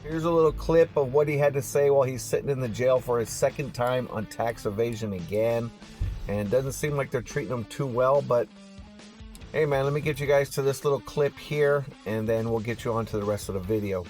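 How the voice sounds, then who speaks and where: A man's voice: mid-range voice, fairly quick, a little mellow, soft background music. A man hosting car news on YouTube in a casual, slangy vlog style, talking straight to his viewers.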